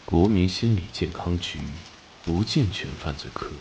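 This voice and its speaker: An actor playing a hot-tempered, commanding police detective captain in an animated crime drama, brusque and scornful. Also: male voice, low voice, moderate pace, a little hiss or hum, lightly acted. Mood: scornful, irritated.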